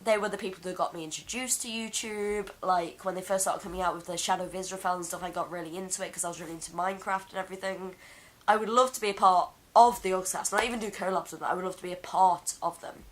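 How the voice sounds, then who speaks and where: A young Englishwoman with a deep voice, hoarse from being ill, rambling through a casual vlog chat at home on a camera mic. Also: steady tone, fast, low-quality recording. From England. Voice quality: deep for a woman. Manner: rambling, chatty, laughs often. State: hoarse from illness. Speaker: vlogger. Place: home room.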